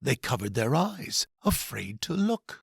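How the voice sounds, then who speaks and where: A man narrates a rhyming picture book as its author, dramatically and unhurriedly. His voice swings widely and has a husky grain, recorded close and dry. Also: medium pitch, a little slow, no echo. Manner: dramatic, expressive storytelling. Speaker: author reading own children's book.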